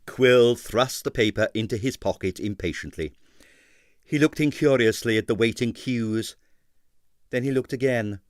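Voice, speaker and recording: A man's voice: mid-range voice, normal speed, dry recording, clean studio sound. A man narrating a comic novel as a scripted, dramatic audiobook reading.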